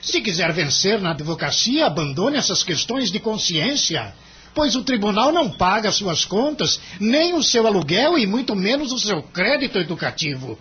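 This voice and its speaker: A Brazilian actor playing a cynical, money-minded senior lawyer in an audio drama, emphatic and condescending. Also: male voice, sits high, big pitch swings, moderate pace, bright, forceful tone, breathy edge, muffled recording, full character voice. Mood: scornful, domineering. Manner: emphatic, condescending, theatrical delivery.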